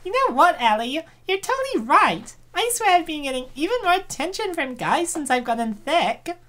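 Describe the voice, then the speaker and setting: A woman playing a fretful young college woman in a comic dub. Her voice sits very high and swoops around a lot. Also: sing-song, normal speed, a bit bright. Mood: perky. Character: anxious young woman student, self-conscious.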